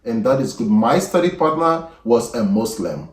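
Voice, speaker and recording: A man hosting an exam prep channel, giving unscripted study advice in a measured way with frequent fillers and restarts. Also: mid-range voice, expressive. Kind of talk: unscripted advice talk to camera. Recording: echoey room, voice from a distance.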